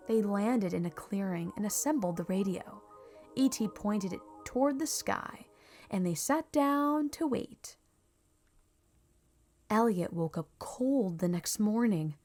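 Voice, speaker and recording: A woman reading a children's storybook aloud in a measured, expressive storytelling manner. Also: a little high. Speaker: storybook reader. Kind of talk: scripted children's story narration.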